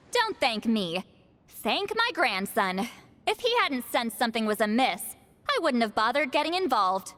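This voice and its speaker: An actress playing an imperious, sharp-tongued shaman high priestess in a video game, with a theatrical, commanding manner. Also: sing-song, unhurried. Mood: sardonic, scolding. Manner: solemn incanting, dry sarcastic scolding.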